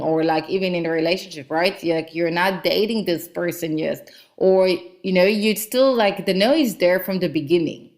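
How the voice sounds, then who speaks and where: A woman whose first language is not English, hosting a solo motivational talk and chatting casually with many fillers. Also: mid-range voice. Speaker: podcast host and business coach. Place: lives in Cape Town.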